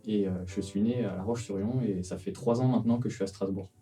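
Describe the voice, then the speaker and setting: Man, 20s, startup cofounder guest; hesitant, filler-heavy delivery, very dark timbre, recorded from a distance. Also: on the low side, fairly even intonation, fast talker, soft background music. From France, Vendée. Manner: hesitant, many fillers and restarts. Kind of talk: casual interview answers.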